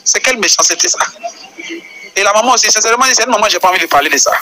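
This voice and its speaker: An indignant Ivorian man explains at length and keeps asking pointed rhetorical questions. His voice is high and bright for a man. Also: lively intonation, rapid-fire, a little hiss or hum, rough recording. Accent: Ivorian French. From Côte d'Ivoire. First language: Baoulé. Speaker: caller. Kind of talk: explaining a controversy in a voice message.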